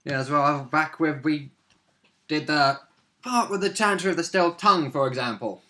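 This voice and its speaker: A man's voice: on the high side, normal speed, pushed and bright, slight background noise. A young man, a player and co-host, chatting casually about a roleplay game at home. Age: young adult. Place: small room at home, indoors.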